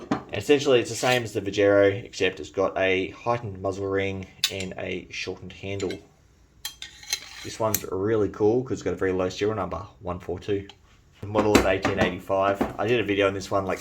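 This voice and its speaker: An Australian-accented man who grew up in New Zealand talks through his collection in a relaxed, chatty way. His voice sits on the low side, and he fills pauses with ums and uhs. A faint hiss of noise runs underneath. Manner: enthusiastic, chatty, frequent fillers. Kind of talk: casual show-and-tell vlog.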